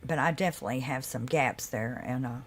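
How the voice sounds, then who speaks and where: Casual female stream host, deep low voice that carries, talks loosely to viewers. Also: a little fast. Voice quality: deep, carrying voice. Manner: loose and chatty, with laughs and asides to viewers. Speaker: livestream host. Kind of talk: casual live chat.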